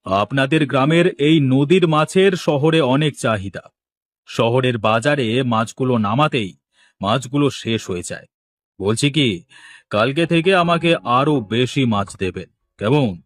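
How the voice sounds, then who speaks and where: A man playing a smooth, evasive fish trader from the city in a cartoon, politely businesslike and reassuring. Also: mid-range voice, lively intonation, a little slow, lightly acted. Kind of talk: cartoon dialogue acting.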